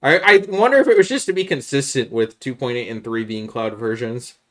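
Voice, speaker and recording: A man's voice: mid-range voice, a little fast, a bit bright. A man talking in a casual gaming podcast style as a co-host, arguing his opinions.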